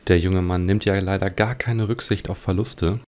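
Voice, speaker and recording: A man's voice: deep voice, fairly even intonation, moderate pace, darkish tone, slight background noise. A man hosting a let's play with casual, musing commentary and wry understatement. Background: game music.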